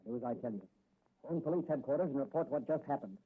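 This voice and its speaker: An actor playing a bold, confident young crime-fighting policeman in a brisk, commanding 1940s radio style. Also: mid-range voice, expressive, husky. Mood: resolute. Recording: muffled, hissy 1940s radio recording.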